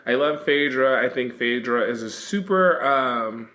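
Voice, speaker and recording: A man's voice: medium pitch, expressive, measured pace, pushed and bright. A man with a stuffed-up, nasal sound co-hosting a podcast, gossiping casually about reality TV with sassy, slangy, playful exaggeration. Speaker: podcast co-host.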